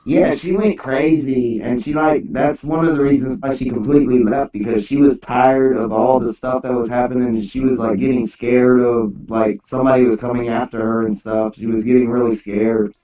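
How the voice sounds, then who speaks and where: A man's voice: medium pitch, steady tone, moderate pace, few pauses, mellow. A man in casual group chat, rambling along in a slangy way. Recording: distant, echoey, dull mic.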